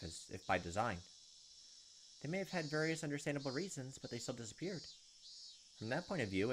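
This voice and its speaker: Young man narrating over noisy ambience. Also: on the low side. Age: young adult. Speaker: gaming video host. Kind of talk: reading visual novel narration aloud, with casual asides.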